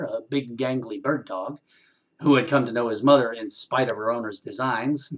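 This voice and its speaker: Man giving an expressive story reading. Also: on the high side. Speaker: story reader, actor.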